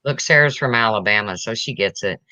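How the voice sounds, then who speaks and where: Raspy deep-voiced Midwestern woman. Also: fairly quick, bright, forceful tone, dry recording, highs cut off. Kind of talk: casual chat.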